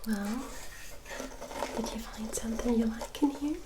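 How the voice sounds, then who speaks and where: A woman playing a gentle, caring elder vampire sister of noble society in an ASMR roleplay, speaking softly, formally and soothingly straight to the listener. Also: on the high side, noisy background, close to an everyday voice. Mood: tender, admiring. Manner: slow, soft, formal and soothing, addressing listener directly.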